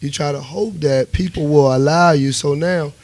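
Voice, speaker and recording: Higher-pitched man in a dry studio. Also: normal speed, a little mellow, no room sound. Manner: conversational, repeats phrases for emphasis. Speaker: musician and bandleader being interviewed. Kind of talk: casual radio interview.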